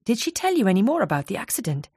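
A woman's voice: mid-range voice, sing-song, rapid-fire, darkish tone, slightly rough, no echo, pitched above the performer's own voice. Woman reading a young woman sleuth, earnest, courteous, probing, dry sound. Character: determined young woman amateur sleuth, tactful.

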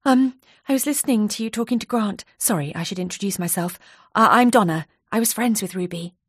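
A woman's voice: mid-range voice, fairly quick, breathy edge, no room sound, clean studio sound, pitched above the performer's own voice, natural voice. A woman voicing a nervous, chatty female office worker who confides eagerly and a little breathlessly. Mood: confiding.

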